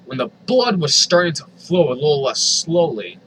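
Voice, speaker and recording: A man's voice: on the high side, sing-song, moderate pace, fairly bright tone, slight background noise, poor audio. A man reading a story aloud in a theatrical, comedic way, with incredulous asides. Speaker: host reading fan fiction aloud.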